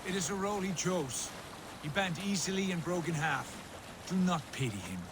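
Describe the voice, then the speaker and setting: An actor playing a proud, embittered Viking lord in a video game, speaking in a solemn, declamatory way. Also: male voice, high-pitched, lively intonation, moderate pace, noisy background. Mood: bitter. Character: embittered, proud Viking lord, grown man, fervent and brooding. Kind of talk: dramatic video game acting.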